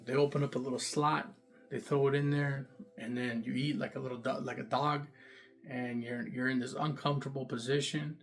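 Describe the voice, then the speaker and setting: A man in casual first-person storytelling to camera, talking loosely with lots of fillers and repeats, about his own time inside. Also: creaky. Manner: loose and repetitive, many fillers, emphatic "boom". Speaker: vlogger recounting jail time.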